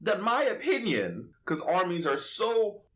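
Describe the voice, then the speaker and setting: A man's voice: high-pitched, huge pitch swings, a little slow, background noise. A man hosting a podcast in casual, opinionated chat, sassy and slangy with emphatic delivery. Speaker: podcast host.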